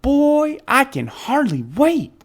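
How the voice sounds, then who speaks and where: An eager, hopeful storybook boy, played by a grown man. The voice is raised high and bounces with excitement. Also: takes it slowly, full character voice.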